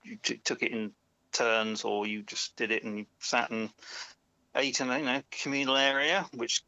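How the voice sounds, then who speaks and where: A man calling in to a chat show, telling easygoing stories with slow fillers over a dull call line. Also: medium pitch, steady tone, pushed and bright, dry recording. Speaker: caller. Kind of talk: casual chat.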